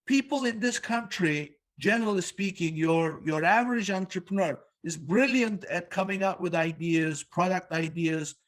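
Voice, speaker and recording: A man's voice: sits high, moderate pace, hardly any pauses, fairly bright tone. A man born in Turkey hosting a business interview podcast, talking emphatically and repeating words for stress. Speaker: podcast host.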